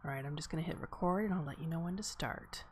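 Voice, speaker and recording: A woman's voice: sits low, fast, darkish tone, husky. A woman hosting a live table read, chatting casually and making announcements. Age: adult.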